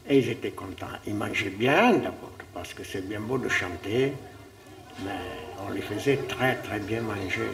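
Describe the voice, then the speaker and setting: An older man, a retired pizza maker, reminiscing slowly in a casual interview. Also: lowish voice, a bit bright, recorded from a distance. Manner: slow, reminiscing.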